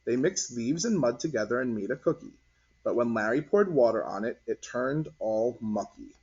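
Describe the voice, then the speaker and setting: A man reading a children's picture book aloud as narrator, in an expressive, deliberate storytelling manner. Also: mid-range voice, breathy edge. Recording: dull lo-fi recording.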